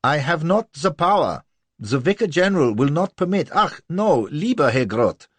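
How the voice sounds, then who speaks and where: A man voicing a timid little male church official who pleads in a high, squealing, frantic voice. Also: sing-song, normal speed, husky, dry recording. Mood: protesting. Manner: frantic and whimpering.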